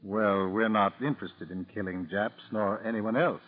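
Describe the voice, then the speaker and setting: An actor playing a calm, courteous FBI chief with an American accent, formal and measured, in a 1940s radio drama. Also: male voice, lowish voice, lightly acted. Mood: polite, reserved. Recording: dull lo-fi 1940s radio recording.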